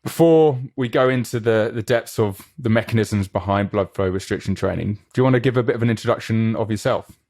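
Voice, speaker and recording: Male podcast host, lowish voice, dry close sound, keen conversational interviewer. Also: fairly quick, a little mellow, no echo. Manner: eager, chatty, asks many questions.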